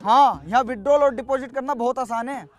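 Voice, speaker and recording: A man playing a confident young village lad giving an assured, explanatory scripted sponsor pitch. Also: fairly quick.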